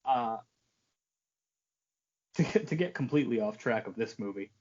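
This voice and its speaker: A man chats as a podcast co-host with swooping, animated pitch. His manner is joking and casually profane. The audio is slightly dull and lo-fi. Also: moderate pace. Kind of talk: casual chat about films.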